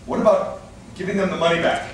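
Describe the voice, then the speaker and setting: A man's voice: high voice, fairly even intonation, quick pace, natural voice. A man playing a concerned, stern father in a staged play reading. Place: indoor room, live staged reading. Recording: echoey room, distant mic, faint noise.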